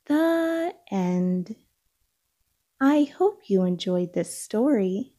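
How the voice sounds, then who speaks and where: A woman reading a children's storybook aloud, with deliberate, expressive phrasing for young listeners. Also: mid-range voice. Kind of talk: read-aloud storybook narration.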